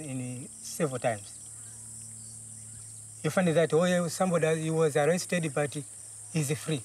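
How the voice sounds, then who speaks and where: A man from Zambia with a slightly high voice gives quiet, mostly short answers under questioning. He is recorded from a distance outdoors, with faint insects buzzing behind him. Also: a little hiss or hum. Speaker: detained poacher. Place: outdoors in the bush.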